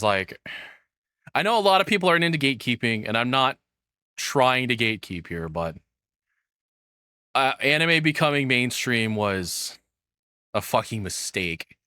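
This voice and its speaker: A man with a fairly low, bright and forceful voice talks in a very dry recording. He rambles casually through his opinions like a streamer reacting, blunt and freely cursing. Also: no room sound.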